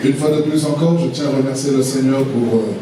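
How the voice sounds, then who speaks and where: A man from Congo-Brazzaville addressing a church conference of pastors in a measured, halting way with many pauses. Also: mid-range voice. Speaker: apostle leading a pastors' conference. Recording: echoey hall, distant, noisy.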